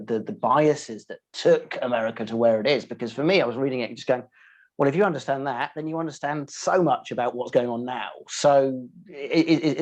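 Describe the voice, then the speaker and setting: Gravelly man asking halting questions. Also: mid-range voice. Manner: halting, searching for words, repeats himself. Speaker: interview host. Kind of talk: conversational interview questions.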